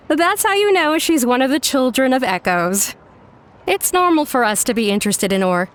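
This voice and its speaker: A woman's voice: high voice, expressive, measured pace, long pauses, background noise. A woman voicing a cheerful, eager ore enthusiast in a video game. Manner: bright, eager. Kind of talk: game voice acting.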